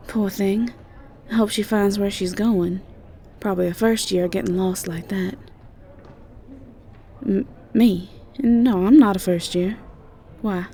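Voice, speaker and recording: Casting: actress as sweet airheaded college siren, Southern drawl, unhurried and mellow, rambling roleplay audio delivery. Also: female voice, mid-range voice. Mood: fond, pitying. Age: young adult. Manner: hesitant, rambling, giggly casual speech. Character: sweet, ditzy young female siren university student.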